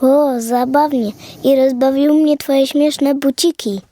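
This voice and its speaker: A woman's voice: sits high, steady tone, a little slow, dark tone, no room sound, voice pushed up. A woman playing a curious, friendly and bold little girl in a children's audio fairy tale, eager and full of wonder. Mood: delighted wonder.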